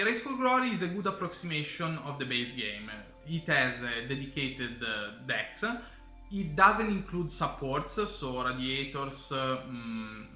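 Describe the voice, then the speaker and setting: A man with an Italian accent explaining board game rules in English as a channel host, halting with many fillers and restarts. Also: mid-range voice, sharp tone. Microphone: camera microphone. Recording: roomy camera-mic audio with faint music.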